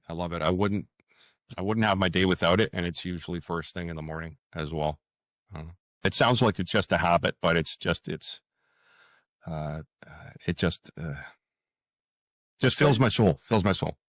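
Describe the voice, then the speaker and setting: Deep voice guy being interviewed, earnest, kinda halting, repeats himself, dry close sound. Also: no echo. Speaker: podcast guest, fantasy writer. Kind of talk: interview conversation, reflective.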